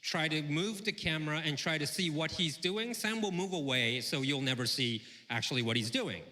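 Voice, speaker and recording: A man's voice: on the high side, normal speed, sharp tone. A man interpreting live on stage, piecing his sentences together hesitantly. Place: stage in a large event hall. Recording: echoing large hall over PA.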